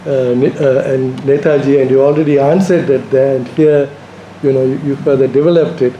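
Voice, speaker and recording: A man's voice: on the high side, warm tone. A man in a lecture audience putting a polite, hesitant academic question in spontaneous speech. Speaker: audience member asking a question. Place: lecture room at a university. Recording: roomy echo, faint room noise.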